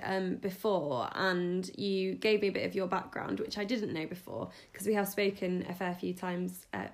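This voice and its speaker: A woman hosting a podcast interview over a video call, upbeat and chatty. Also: medium pitch. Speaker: podcast host.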